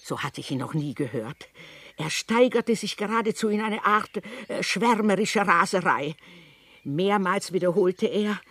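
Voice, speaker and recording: Young radio play narrator, high voice, vivid storytelling, very dry sound. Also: male voice, expressive, unhurried, a bit bright, no room sound, heavily acted. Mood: observant. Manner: vivid storytelling, quotes with emphasis.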